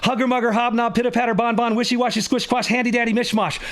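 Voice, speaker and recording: A man voices a bossy woman school nurse in a storybook. The voice is high, brisk and emphatic, with a knowing air. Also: level tone, fairly bright tone. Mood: determined.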